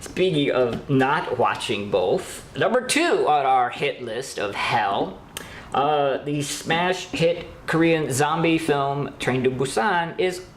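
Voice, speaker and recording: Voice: animated, slow. A co-host of a horror news show giving opinionated, casual commentary in an emphatic way, repeating words for effect. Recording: roomy echo, background rumble noise.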